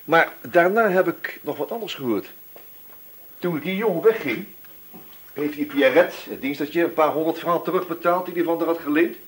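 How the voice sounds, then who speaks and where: An actor in a radio play voicing a shrewd, patient police inspector who probes casually in a musing, hesitant way. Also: male voice, a little high, moderate pace, husky. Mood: pressing, suspicious. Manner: musing, hesitant probing with repeated questions. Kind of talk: radio drama dialogue.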